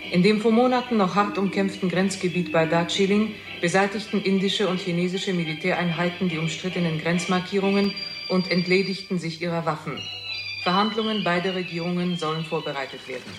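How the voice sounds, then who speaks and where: An actor playing an urgent, formal foreign correspondent reading a news dispatch in a 1960s radio play. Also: male voice, high voice, fairly quick, close to an everyday voice. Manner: formal, announcing. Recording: echoing voice over loud music.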